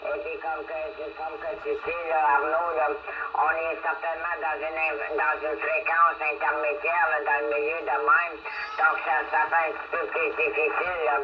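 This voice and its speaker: A Quebec-accented man comes through a radio with muffled sound and clear noise. His voice is slightly high and fairly even as he chats casually, in a halting, colloquial way. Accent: Quebec French. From Canada. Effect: heard through a radio receiver. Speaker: amateur CB radio operator. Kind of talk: casual radio contact chat. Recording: dull, noisy shortwave radio reception.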